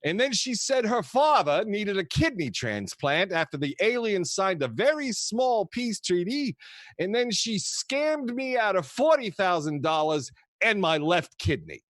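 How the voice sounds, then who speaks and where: An actor playing a kooky old grandfather who tells rambling, theatrical, halting stories to his grandchildren in a comedic audio play. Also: sits high, very animated, fairly bright tone, no room sound, clean studio sound, full character voice. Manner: theatrical, wistful, halting storyteller.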